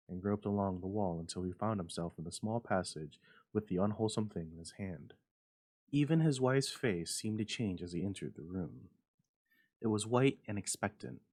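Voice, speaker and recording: Guy reading a spooky story out loud, fairly deep voice, dramatic suspenseful narration. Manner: suspenseful, theatrical. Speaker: library staff storyteller.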